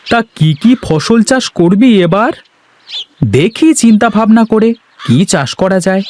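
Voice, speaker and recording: Man acting a higher-pitched, animated village farmer husband. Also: slight background noise. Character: drowsy, grumbling village farmer husband, prickly and teasing. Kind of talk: Bengali fairy-tale cartoon dialogue.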